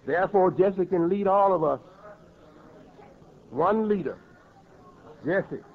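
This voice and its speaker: A man's voice: high voice, slow pace, phone-quality sound. A man preaching a sermon in an emphatic way, repeating and rephrasing his words as he builds each point.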